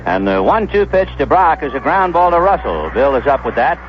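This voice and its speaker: An American man calling baseball play-by-play on the radio, conversational and descriptive, filling in stories between pitches. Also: big pitch swings, fairly quick. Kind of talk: play-by-play commentary. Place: ballpark broadcast booth. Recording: dull, noisy vintage 1970s radio broadcast.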